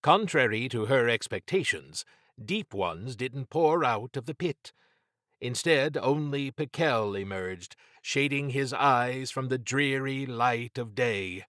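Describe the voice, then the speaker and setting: Male horror audiobook narrator; medium pitch, measured, dramatic storytelling, very dry sound. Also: lively intonation, fairly bright tone, no room sound. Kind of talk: scripted narration of horror fiction.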